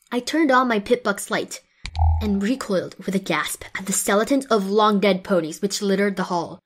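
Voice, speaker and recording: A woman's voice: medium pitch, close to an everyday voice. A woman voicing a young, determined and wry female pony who narrates her own adventure in an audio drama. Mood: wary. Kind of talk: scripted first-person narration in audio drama.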